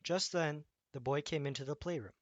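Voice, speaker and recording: A man's voice: a little high, a little fast, no room sound, dull audio. Man narrating a bedtime story, close dry sound.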